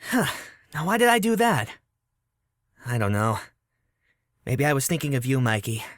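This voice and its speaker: A man's voice: mid-range voice, very animated, normal speed, a bit bright, no room sound, studio quality. A young man playing Sam, an impulsive, kind everyman turned furry superhero, in a fan audio drama. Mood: pensive.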